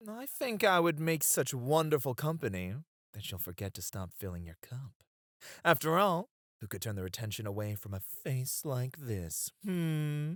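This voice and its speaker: A man's voice: medium pitch, sing-song, moderate pace, fairly bright tone, no echo, studio quality. A man playing a teasing, dramatic, flirty club host in an ASMR roleplay, with a coy, effusive manner.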